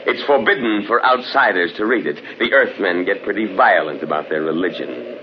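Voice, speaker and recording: An actor playing a smug, patronizing official in an old-time radio drama, in a conversational manner. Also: male voice, sits low, expressive, normal speed. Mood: knowing. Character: condescending, worldly Imperial official, smugly prejudiced. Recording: dull old radio recording, faint noise.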